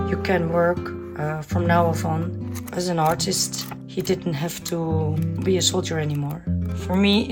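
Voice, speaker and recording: A woman in her forties from the Netherlands with a Dutch accent, giving a shy, halting, earnest tour of her home art studio. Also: on the low side. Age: 40s. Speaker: vlogger. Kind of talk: casual studio tour chat. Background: guitar music.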